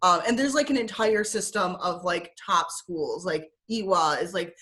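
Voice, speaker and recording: A woman's voice: on the low side, normal speed, a bit bright. A young woman with a Michigan accent, talking casually as an interview guest over a video call, with lots of fillers and easy laughter. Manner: chatty with frequent fillers, laughs often.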